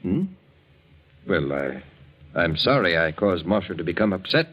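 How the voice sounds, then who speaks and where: A reserved older husband talks in a very deep voice. His manner is restrained and courteous, in the style of 1940s radio drama. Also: big pitch swings, a little slow, fairly bright tone, highs cut off, exaggerated voice. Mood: subdued, concerned. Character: reserved, polite older married man of the house.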